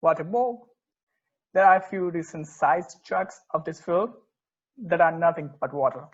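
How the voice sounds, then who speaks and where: An earnest, opinionated sports purist played by a man talks in a slightly high voice. The sound is dull and lo-fi. The delivery is a conversational script reading, emphatic, with the odd stumble. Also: moderate pace, close to an everyday voice. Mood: explanatory, bemused. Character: opinionated purist football fan, earnest and particular. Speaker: amateur actor in a remote table read.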